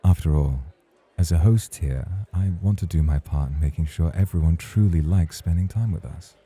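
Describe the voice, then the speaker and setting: A man's voice: low voice, moderate pace, warm tone, slightly rough. A man acting in an ASMR roleplay as a suave, teasing club host, formal and flirtatious in his way of talking. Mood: courteous, probing.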